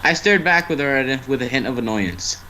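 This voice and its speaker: A man's voice: mid-range voice, lively intonation, a little fast, bright, forceful tone. A man narrating fan fiction casually as an amateur storyteller, now and then stumbling over the script. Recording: noisy amateur online stream.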